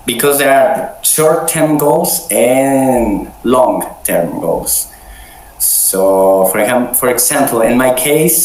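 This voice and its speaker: Slow, hesitant man with a cold. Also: mid-range voice, darkish tone, roomy sound, low-quality recording. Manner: hesitant, many restarts. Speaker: meeting host. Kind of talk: casual online chat. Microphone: video call.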